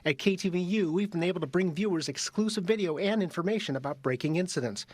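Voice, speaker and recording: A man reporting live from outdoors for a local television newscast, in a crisp, measured news delivery. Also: high-pitched, no echo. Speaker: field reporter. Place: outdoors on location.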